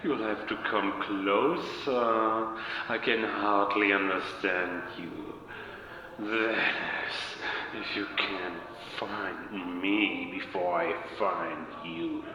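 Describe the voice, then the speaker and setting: A man playing a taunting, self-assured werewolf leader over an echoing loudspeaker in an audio drama. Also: on the low side, fairly even intonation, a crowd in the background. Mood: taunting, playful menace. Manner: very slow, deliberate, mocking. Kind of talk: cinematic audio drama acting. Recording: heavy echoing reverb, faint noise.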